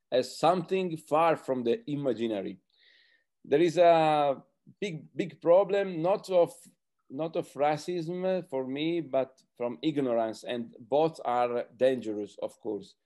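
Slow, halting man with an Italian accent. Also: a little high, mellow. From Italy. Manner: hesitant, halting, searching for words. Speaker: film director speaking on a panel. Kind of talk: roundtable talk in a second language. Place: small book-lined home room, indoors. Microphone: computer on a video call.

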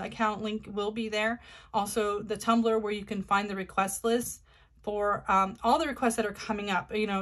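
A woman talking straight to her viewers, loose and chatty, full of little ums and self-corrections. Her pitch sits in the middle for a woman. She sounds like a hobby host explaining a card reading. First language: not Korean. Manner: chatty, many ums and restarts. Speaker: tarot reader, channel host. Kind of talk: casual talk to camera.

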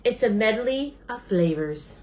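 Woman voicing a mild, expressive storybook raccoon. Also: on the high side, unhurried, slight background noise, pitched above the performer's own voice. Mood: earnest. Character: mild, earnest raccoon proud of a sandwich. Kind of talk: children's storybook character voice.

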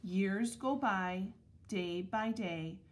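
A woman reads a children's picture book aloud as a schoolteacher would. Her pace is slow and her phrasing is deliberate, with a mid-range pitch. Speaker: elementary school teacher reading aloud.

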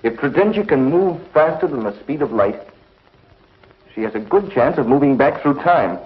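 An actor playing an agitated scientist in a 1950s television sci-fi serial. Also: male voice, mid-range voice, lively intonation, moderate pace, lightly acted. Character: urgent, agitated scientist ally of a space hero. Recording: dull vintage TV soundtrack.

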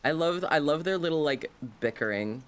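A man's voice: fairly high pitch, lively intonation, normal speed, fairly bright tone, clean tone. A man streaming a game with casual live commentary, full of playful sing-song asides.

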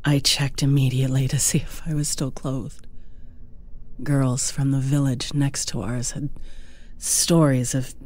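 A woman narrating a horror audiobook as a frightened young village wife held captive, breathy and hushed, often near a whisper. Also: extremely low pitch, flat delivery. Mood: dazed, hushed. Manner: slow, halting, hushed.

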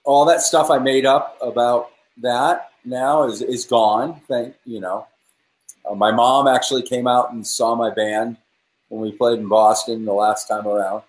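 Guest, New man in his 40s, earnest storyteller, mid pitch, roomy webcam sound. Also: steady tone, unhurried. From New England. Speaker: guest musician. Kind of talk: casual video-call interview. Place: home room with guitars, indoors. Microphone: video call webcam.

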